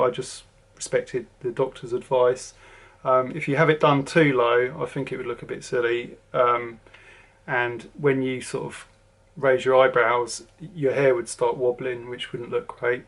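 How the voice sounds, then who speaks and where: Flat-voiced southern English man rambling. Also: mid-range voice, a little slow, vocal fry. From southern England. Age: 40s. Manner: rambling, lots of ums and restarts. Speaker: vlogger. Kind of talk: casual to-camera update.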